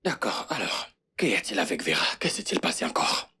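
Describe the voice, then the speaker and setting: A woman's voice: a bass voice, big pitch swings, moderate pace, pushed and bright, breathy edge, exaggerated voice. A woman dubbing a young man, the eldest brother of the family, who is defensive and pleads insistently. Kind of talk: French dub of a film.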